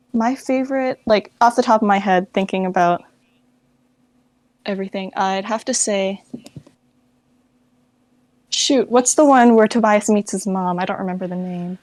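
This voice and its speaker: A woman's voice: medium pitch, unhurried, pauses often, a little mellow, no room sound. A woman chatting informally about books as an interview guest, often saying "like" as she goes. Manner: informal, peppered with "like". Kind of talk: casual chat about books.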